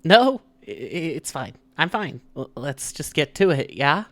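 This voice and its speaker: A performer voicing a nervous young male college student, soft-spoken and hesitant with a stammer, in an audio story reading. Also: mid-range voice, big pitch swings, measured pace. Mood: flustered, nervous.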